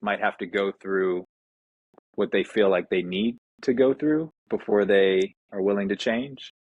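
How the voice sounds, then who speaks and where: A man's voice: fairly low pitch, moderate pace, no room sound, lo-fi sound. A man hosting a conversational interview, talking in an earnest, reflective way. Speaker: interviewer, podcast host.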